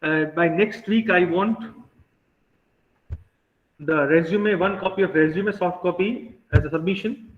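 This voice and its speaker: A man's voice: high voice, unhurried, pauses often. A man with an Indian accent giving classroom instruction as an English teacher, often checking with a short question. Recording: tinny phone-like audio, echoey room.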